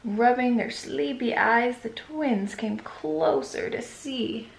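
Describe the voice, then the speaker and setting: A woman's voice: on the high side, takes it slowly, vocal fry. A woman hosting a read-aloud for children, narrating a storybook. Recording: echoey room.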